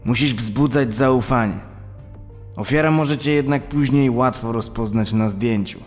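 An actor playing a seasoned convict swindler, giving deliberate, cautioning advice in a true-crime podcast dramatization. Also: male voice, mid-range voice, natural voice. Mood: calm, cautioning. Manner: slow, deliberate, advising. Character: seasoned male convict swindler, coaching a younger accomplice. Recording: long reverb, music underneath.

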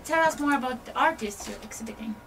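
A woman's voice: high voice, fast, far from the mic. A woman interviewer putting short, casual questions to guests. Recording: off-mic voice, clear background noise.

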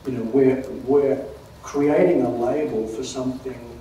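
An older man with a mellow, relaxed voice, explaining academic terms in a precise lecturing manner and speaking slowly. He stands in a lecture hall, heard from afar with a strong echo and faint noise. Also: mid-range voice. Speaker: keynote speaker. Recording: echoey hall from a distance.

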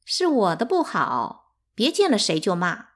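A woman's voice: medium pitch, wildly swooping pitch, unhurried, a bit bright, exaggerated voice. A woman voicing a domineering, spiteful rich wife in a storybook, imperious and insinuating beneath a syrupy sweetness. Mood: falsely soothing.

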